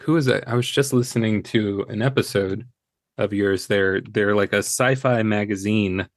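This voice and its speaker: Low-voiced American man, close dry sound. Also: normal speed, no echo. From United States. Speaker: podcast guest, audio drama creator. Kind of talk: casual chat.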